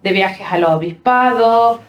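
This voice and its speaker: A woman's voice: medium pitch, takes it slowly, echoey room, dull audio. A woman from San Pedro, Argentina, with an Argentine accent, explaining plainly how her book came about. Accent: Argentine Spanish. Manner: plain, matter-of-fact explaining. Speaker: guest, co-author.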